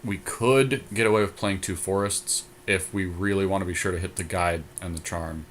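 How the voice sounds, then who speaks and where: A man's voice: low voice, fairly even intonation, normal speed, slight background noise. A man co-hosting a casual podcast chat about a card game, thinking aloud as he goes. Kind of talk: casual back-and-forth chat while building a card deck.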